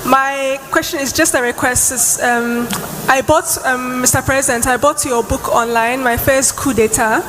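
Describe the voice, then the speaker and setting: A woman's voice: high-pitched, fairly even intonation, moderate pace. A young woman speaking hesitantly from the audience at a public meeting. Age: young adult. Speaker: audience questioner. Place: large hall at public meeting. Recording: noisy reverberant hall.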